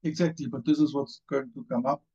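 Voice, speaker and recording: A man's voice: mid-range voice, fairly even intonation, a little fast, warm tone, husky. German-accented man, muffled call audio. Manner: hesitant, many fillers and restarts. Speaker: panelist, former member of parliament. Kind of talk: online panel discussion. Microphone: video call.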